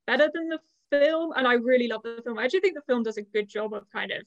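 A young woman talks casually and a bit ramblingly as a podcast co-host. Her voice is highish with plenty of vocal fry. The recording is dry and a little dull. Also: fast talker, no echo. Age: young adult. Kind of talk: casual chat. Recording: slightly dull, lo-fi microphone sound.

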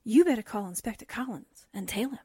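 A woman's voice: a little high, lively intonation, fairly quick, warm tone, husky, no echo. An actress playing an anxious, doubting young woman assistant in a 1940s-style radio drama, hesitant and wondering. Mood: curious, concerned.

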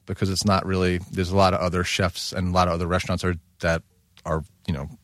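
Rambling low-voiced man chef, dry sound. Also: flat delivery, fast, a bit bright, no room sound. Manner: rambling, full of "like" and "you know". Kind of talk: casual chat.

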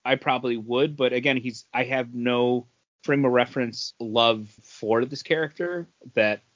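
Man, podcast co-host, mid-pitched voice, halting casual delivery, dull lo-fi sound. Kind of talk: casual chat about comics.

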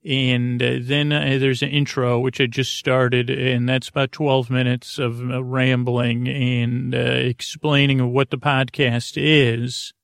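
A man hosting a sleep podcast, rambling and getting sidetracked in a soft, creaky voice, mocking himself as he goes. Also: medium pitch, level tone, slow, few pauses, dry recording, clean studio sound. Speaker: podcast host. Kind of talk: rambling bedtime podcast talk.

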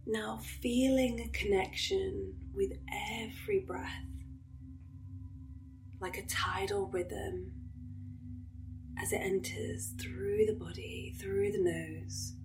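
Slow, soothing woman guiding meditation. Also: mid-range voice, steady tone, creaky, whispered, low-quality recording. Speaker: yoga nidra meditation guide. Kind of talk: guided relaxation meditation.

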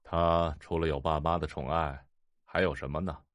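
A man playing a cold, calculating wealthy husband in an audio drama, speaking with unhurried disdain and a sneer. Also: rumbling low, fairly even intonation, no echo, studio quality. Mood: cool disdain. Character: cold, contemptuous, calculating rich husband and heir.

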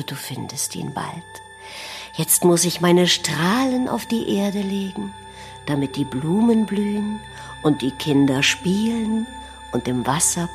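A woman playing a kindly rising sun in a children's audio play, tender, friendly and unhurried. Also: fairly low pitch, exaggerated voice.

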